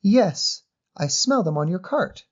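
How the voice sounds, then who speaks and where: A man plays an eager little girl in a short story, the voice lifted high. The child is polite, precise and earnest, with a determined, trusting way of talking. The recording is a little muffled. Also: expressive, takes it slowly, full character voice. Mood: excited, decisive. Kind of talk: audio short story character voice.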